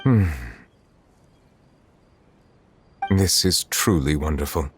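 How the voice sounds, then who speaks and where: An actor playing a reserved, formal chief justice in a video game, speaking in measured phrases. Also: male voice, rumbling low, expressive, faint music behind. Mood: quietly moved.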